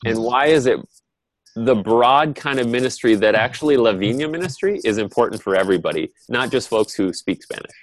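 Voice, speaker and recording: A man's voice: mid-range voice, lively intonation, a little fast, no room sound, low-quality recording. A man hosting an online panel session in an informal, conversational way. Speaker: host moderating an online panel. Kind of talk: casual webinar hosting. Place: online video call.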